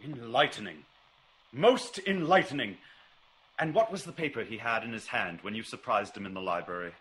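An actor playing a keen, commanding detective, probing and theatrical, in a classic radio drama. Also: male voice, on the low side, animated, moderate pace, fairly bright tone. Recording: noisy old radio recording.

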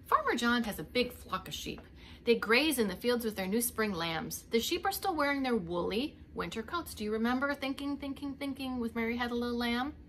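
A woman's voice: a little high, animated, brisk, a bit bright, a little hiss or hum. A middle-aged woman reading a picture book aloud as a preschool teacher, expressively, with little asides to young children. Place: indoors, home living room.

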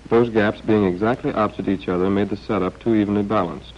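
A man's voice: lowish voice, steady tone, fast talker. An American man narrating a scripted 1940s training film in a wry, understated way, on an old film soundtrack. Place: voice-over recorded for a film. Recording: dull, band-limited old film soundtrack.

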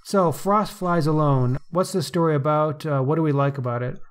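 A man's voice: a little high, moderate pace. A man co-hosting a podcast, discussing fiction casually and appreciatively as he thinks aloud. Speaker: podcast co-host.

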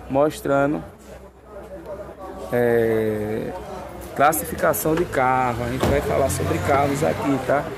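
Hyped man at a car fair. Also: a little high. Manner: upbeat, repeats catchphrases and "família". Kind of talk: hyped sales walkaround. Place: outdoor car lot. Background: crowd chatter at a car fair.

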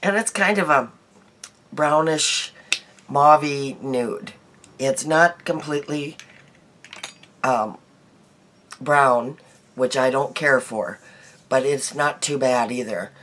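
A middle-aged woman vlogging her daily makeup in a small bedroom, chatty and homey, her voice hoarse from a cold. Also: a bass voice, slow pace, pauses often, a bit bright, a little hiss or hum. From United States. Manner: chatty, homey, laughs easily, trails off. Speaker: beauty vlogger. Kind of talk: casual daily makeup chat to camera.

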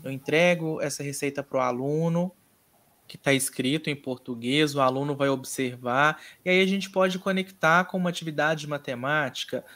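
This is a man's voice, pitched a little above the usual, delivering an online course lecture. He explains step by step in a measured way. Hesitation fillers break up his sentences. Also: fairly bright tone. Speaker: course instructor.

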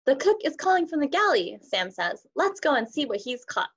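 A young woman with a slightly high voice reads a picture book for children as their teacher. It was recorded on a video call, so the sound is rather dull. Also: sing-song, moderate pace. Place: indoors, on a group video call.

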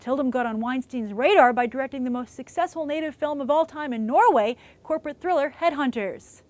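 A young woman with a distinctly high voice presents scripted film news. She speaks as the host of an online movie show. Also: brisk, darkish tone. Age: young adult.

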